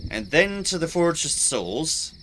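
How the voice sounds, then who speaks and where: A slightly hoarse man streaming a video game, chatting casually and playfully to himself as he plays. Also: fairly high pitch, sing-song, takes it slowly, a bit bright, a little hiss or hum. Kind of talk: casual live gameplay commentary.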